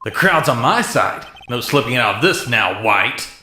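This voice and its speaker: A man's voice: mid-range voice, sing-song, normal speed, pushed and bright, husky, exaggerated voice. A man playing a determined young defense lawyer in a video game dub, pressing and confrontational in manner. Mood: confident, pressing. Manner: assertive, pressing. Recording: music under the voice.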